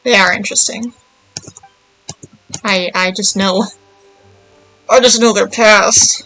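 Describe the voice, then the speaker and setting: A woman's voice: mid-range voice, fairly even intonation, slow, bright, forceful tone, soft background music, poor audio. A woman chatting casually as she streams a game, rambling and thinking aloud. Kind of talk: casual livestream chat while playing.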